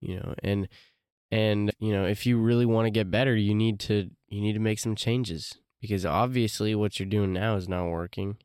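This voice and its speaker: A man with a low, smooth voice hosts a solo podcast, recorded close and dry. He talks casually, circling back with fillers and restarts. His voice is a little congested from allergies. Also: fairly even intonation, normal speed, a little mellow, no echo. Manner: loose, rambling, many fillers and restarts. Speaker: podcast host.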